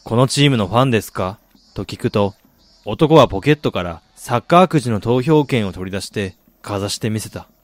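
A man's voice: lowish voice, expressive, brisk, no echo, natural voice. An actor playing a reflective, polite soccer fan who also narrates his own story in a radio drama. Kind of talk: Japanese radio drama acting with first-person narration.

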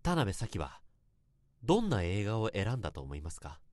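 A man plays an earnest young male office worker in a radio drama, confessing an old infatuation. His low voice moves expressively through polite, literary narration. It is recorded close and dry. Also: wildly swooping pitch, moderate pace, a little mellow, dry recording. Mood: earnest, confessional. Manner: polite, formal, literary storytelling.

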